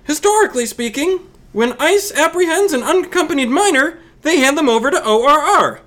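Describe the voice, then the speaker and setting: A woman playing an earnest, indignant female expert in a forced high voice for a scripted comedy explainer. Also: lively intonation, a little fast, a bit bright.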